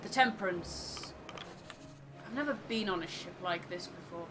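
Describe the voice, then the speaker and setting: A woman reads as a thoughtful first mate keeping a log in a narrative game. The voice is slightly low, measured and wary, over clear background noise. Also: close to an everyday voice. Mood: reflective, wary. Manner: measured, reflective, literary.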